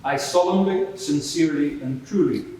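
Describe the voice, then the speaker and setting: A man's voice: on the high side, moderate pace, darkish tone, natural voice. Man playing a formal judge, very echoey. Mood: official. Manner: official, procedural, instructing. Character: formal, brisk, authoritative male judge on the bench. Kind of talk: formal courtroom procedure. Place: large echoing courtroom. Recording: very echoey hall, distant, noisy.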